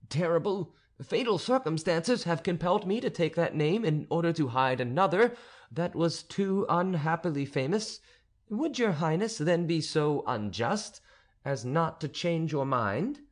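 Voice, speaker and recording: A man's voice: fairly high pitch, expressive, measured pace, pitched above the performer's own voice. A man reading an audiobook, voicing a desperate, disgraced abbé who talks in an earnest, imploring way. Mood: pleading.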